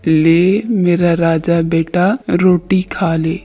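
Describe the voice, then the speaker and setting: A woman playing a poor, loving village mother in a moral story, gentle and humble in manner. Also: lowish voice, fairly even intonation, extremely slow, husky, soft background music, full character voice. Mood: tender.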